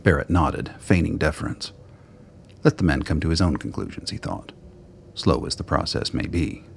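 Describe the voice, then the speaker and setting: A man narrating a radio drama as a grave, dry storyteller, with a gravelly, husky voice. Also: rumbling low, expressive, moderate pace, a little hiss or hum, full character voice. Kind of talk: scripted narration.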